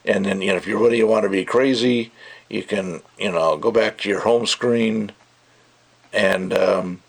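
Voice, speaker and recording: A man speaks in a slightly low voice with plenty of gravelly creak. He rambles casually as an amateur reviewer, loose and conversational, and freely offers his opinions. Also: measured pace.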